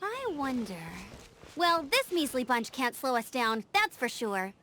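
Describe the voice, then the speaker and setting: Super high bouncy young scout, bossy n eager, game music under it. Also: female voice, heavy background noise. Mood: curious, determined. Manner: energetic, quick to take charge. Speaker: game character. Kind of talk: video game voice acting. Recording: game audio with music behind.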